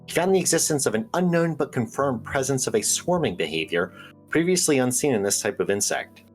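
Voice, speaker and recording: A man's voice: mid-range voice, sing-song, a little fast, close to an everyday voice. Man playing a conspiracy-minded radio host over music. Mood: conspiratorial. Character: earnest conspiracy-minded male small-town radio host. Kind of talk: audio drama radio call-in hosting.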